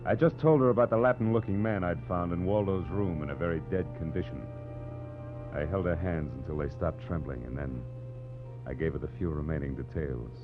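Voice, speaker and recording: An American actor playing a tough, sardonic private detective in 1940s radio style, with clipped, wisecracking delivery. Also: male voice, deep voice, brisk, music in the background, close to an everyday voice. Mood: grim, narrating. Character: wry, tough, cool male private detective. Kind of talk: 1940s radio detective acting, first-person narration. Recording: muffled, humming 1940s radio recording.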